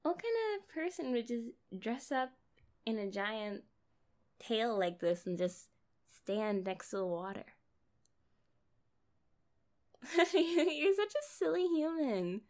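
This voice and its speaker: A high, very animated young female mermaid acted by a woman talks directly to the listener in an ASMR roleplay. She is kind, naive and playful, taking her time with drawn-out, chatty phrases. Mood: excited, amused.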